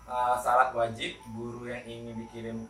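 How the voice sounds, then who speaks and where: Male teacher as podcast guest, conversational and a bit halting, echoey room, slightly off-mic. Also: mid-range voice, completely flat delivery, pushed and bright. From Palembang, South Sumatra, Indonesia. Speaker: interview guest, schoolteacher. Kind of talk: casual conversational storytelling. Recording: roomy echo, distant mic, faint music.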